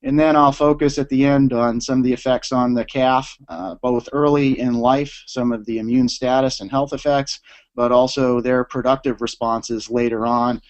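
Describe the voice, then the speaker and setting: A man with a slightly scratchy voice from a cold, giving a measured online lecture as a university professor, pausing often with "uh". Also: mid-range voice, fairly even intonation. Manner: measured, explanatory, many "uh" pauses. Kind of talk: lecture presentation. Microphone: remote presentation line. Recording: dull lo-fi online audio.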